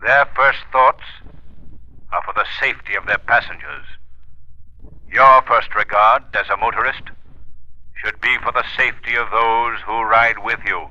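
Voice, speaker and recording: An actor playing a stern, mysterious crimefighter delivering solemn, deliberate advice in old radio style. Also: male voice, medium pitch, fairly even intonation, pushed and bright. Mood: solemn warning. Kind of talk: 1930s-40s radio announcement. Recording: dull old radio recording.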